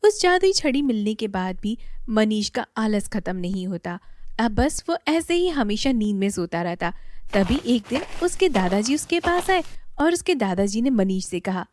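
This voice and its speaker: A woman narrating a children's moral story in a plain, steady way. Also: mid-range voice, normal speed, dry recording.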